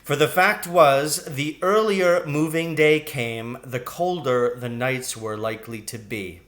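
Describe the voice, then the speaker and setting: A man narrating a children's storybook in a deliberate, expressive way. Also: medium pitch. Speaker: audiobook reader.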